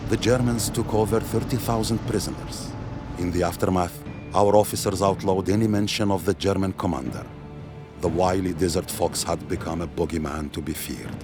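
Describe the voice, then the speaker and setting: A male actor plays a grave witness to war with a low, deep voice. He narrates a video game cutscene in a somber, measured storytelling manner. Loud music runs underneath. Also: natural voice. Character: grave male wartime witness recounting defeat.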